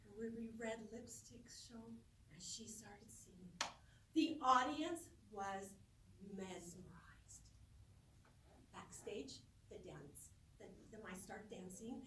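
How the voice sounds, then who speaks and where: A middle-aged woman giving a humorous storytelling speech to a live audience, expressive and theatrical, with dramatic pauses and drawn-out words. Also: high-pitched. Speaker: speech contest competitor. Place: roomy indoor space before an audience. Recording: echoey room, distant microphone, faint noise.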